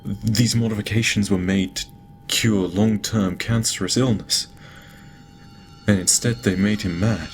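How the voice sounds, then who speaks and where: An actor playing a guilt-ridden lord in an audio drama, dictating a private log in halting, stammering speech broken by sighs. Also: male voice, on the low side, steady tone, a little hiss or hum, natural voice. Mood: defensive. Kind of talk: audio drama acting, personal log monologue. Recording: music behind the voice. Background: music.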